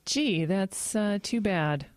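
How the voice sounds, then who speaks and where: A woman playing a polite, slightly awkward mother of a teenager in an audio drama, speaking haltingly. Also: mid-range voice, expressive, dry recording, clean studio sound. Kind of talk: audio horror drama acting.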